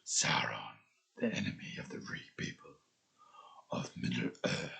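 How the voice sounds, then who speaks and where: A man voicing a grave fantasy narrator, slow and portentous, with a breathy, gravelly low voice. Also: pushed and bright, in a whisper. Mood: hushed, grave. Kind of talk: dramatic scripted narration.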